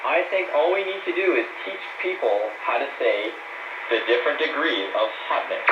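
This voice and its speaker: A man's voice: medium pitch, lively intonation, moderate pace. A man co-hosting a scripted language lesson on the radio, heard over shortwave. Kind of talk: scripted banter and repeat-after-me lesson. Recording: muffled echoey noisy shortwave radio.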